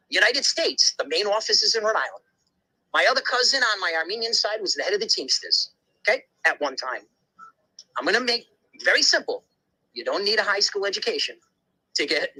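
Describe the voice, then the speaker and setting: Forceful, husky-voiced man rambling emphatically. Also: high-pitched, low-quality recording. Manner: rambling, emphatic storytelling with many asides and restarts; blunt, occasional swearing. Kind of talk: casual conversation.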